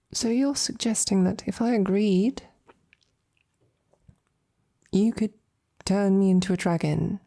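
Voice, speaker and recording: A woman playing a devoted, courteous female knight in an intimate romantic audio roleplay, speaking softly and breathily with gentle, halting, formal phrasing. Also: medium pitch, full character voice. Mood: flustered, reassuring. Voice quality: breathy, soft. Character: devoted female royal knight, tender, courteous, easily flustered.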